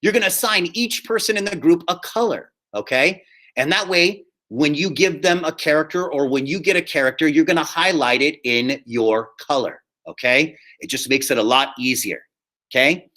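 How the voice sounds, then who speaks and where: Male drama teacher, slightly high, bright forceful voice, informal and explanatory, frequent check-ins. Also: normal speed. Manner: explanatory, often checks in with "okay?". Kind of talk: informal classroom instruction.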